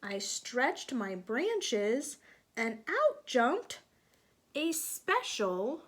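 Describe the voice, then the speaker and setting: A woman's voice: high voice, sing-song, very slow. A woman teacher reading a picture book aloud to young children, stopping to explain things and ask them questions.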